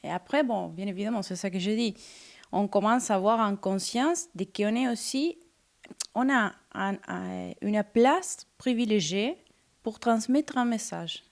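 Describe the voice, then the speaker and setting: A woman from Argentina, a singer interviewed on the radio, who searches for her words with many pauses and fillers. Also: mid-range voice, vocal fry.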